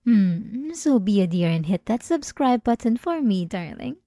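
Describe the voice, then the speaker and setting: Female ASMR host. Dark, relaxed tone, unhurried, hushed and teasing delivery. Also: mid-range voice, no echo, clean studio sound. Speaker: channel host. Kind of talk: ASMR-style scripted channel intro.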